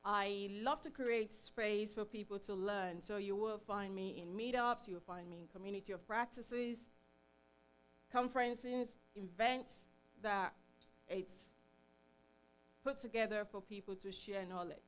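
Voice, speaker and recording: A middle-aged woman presents informally to a live room. Her pitch is average, and she comes across as chatty and a little self-deprecating. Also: a little slow, smooth, rough recording. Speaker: meetup presenter. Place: meetup room, live in front of an audience.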